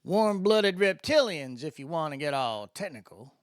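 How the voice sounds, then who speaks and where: A man's voice: a little high, sing-song, moderate pace, smooth, no echo, studio quality. A man voicing an easygoing good old boy from Mississippi, a spacefaring mentor with a folksy Southern drawl, in a science fiction audiobook. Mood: folksy, explaining.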